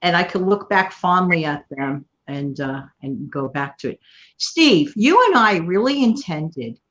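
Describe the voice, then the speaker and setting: A woman's voice: on the low side, very animated, unhurried, a bit bright, lo-fi sound. A middle-aged woman hosting her channel in casual chat, rambling and laughing often. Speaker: channel host. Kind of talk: casual live-stream chat.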